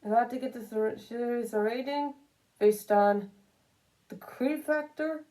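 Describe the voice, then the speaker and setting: A woman's voice: fairly high pitch, a little slow, warm tone, poor audio. A woman giving casual, unscripted opinion commentary, rambling and repeating phrases for emphasis. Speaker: commentary video creator.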